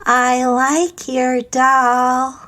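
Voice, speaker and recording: A woman voicing a creepy possessed doll that talks with flat, eerie childlike simplicity. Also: sits high, very slow, over a noisy crowd.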